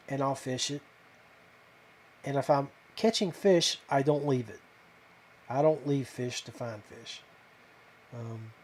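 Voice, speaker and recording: Casual male podcast host, thinking out loud. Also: mid-range voice. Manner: hesitant, off-the-cuff, self-deprecating. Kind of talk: casual fishing talk to viewers.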